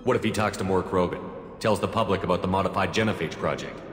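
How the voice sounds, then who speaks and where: Man acting a low, brisk military commander. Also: steady tone, close to an everyday voice. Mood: firm. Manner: direct, questioning, authoritative. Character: male military commander, firm, level-headed, principled hero. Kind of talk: video game cutscene acting. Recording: echoey hall reverb under clear background music.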